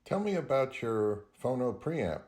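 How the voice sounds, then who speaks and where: A man's voice: mid-range voice, sing-song, a little slow, a little mellow. Male reviewer and host, mid-range voice, delivering scripted narration for a gear channel.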